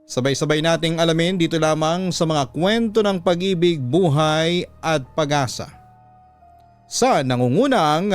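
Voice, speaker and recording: A man narrating as a radio host and storyteller, reading in a measured, dramatic scripted style. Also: a little high, soft background music. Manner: measured, reading a letter in first person. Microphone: close studio microphone.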